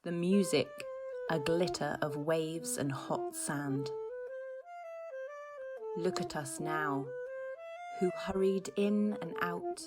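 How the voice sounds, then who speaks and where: An actor playing a grieving woman who gently describes what she watches, in simple, hesitant phrases, as an audio drama monologue. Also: deep voice, expressive, slow, pauses often. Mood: reflective, lyrical. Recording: music behind the voice.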